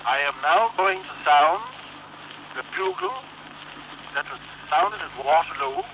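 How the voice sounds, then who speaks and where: A man's voice: on the high side, huge pitch swings, measured pace, pushed and bright, muffled recording, slight background noise. A man making a stiff, declamatory formal announcement as a military trumpeter on a Victorian phonograph recording.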